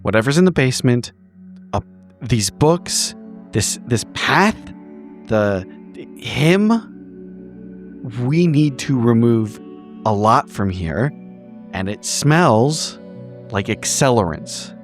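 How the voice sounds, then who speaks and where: A man with a mid-pitched voice improvises a roleplay scene. He speaks in halting, repeated bursts peppered with profanity, and music plays clearly underneath. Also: expressive. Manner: halting, repetitive, profanity-laced speech. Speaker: tabletop roleplay podcast player.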